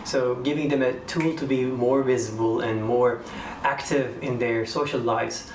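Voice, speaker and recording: A man's voice: mid-range voice, steady tone, moderate pace, a little mellow. A man answering an interview question thoughtfully and hesitantly, repeating words as he searches for them. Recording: roomy sound, distant mic, faint ambient noise.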